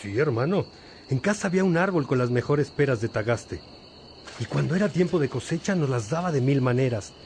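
A man playing a gentle, devout monastic father in a radio drama, speaking in a fraternal, reflective way. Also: medium pitch, lively intonation, a little fast, a little hiss or hum, close to an everyday voice. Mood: fond.

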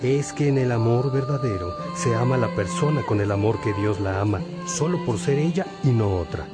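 An actor playing a wise, patient priest and teacher in a religious radio drama, gently questioning and instructing. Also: male voice, mid-range voice, animated, moderate pace, natural voice. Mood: playful, probing. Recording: music behind the voice.

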